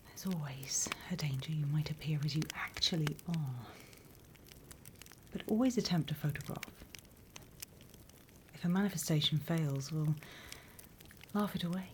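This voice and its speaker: A British woman voicing a sly, wryly menacing demon instructor in a hushed, conspiratorial ASMR roleplay whisper, close to the microphone. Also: low voice, a little hiss or hum. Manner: measured, hushed, dryly conspiratorial.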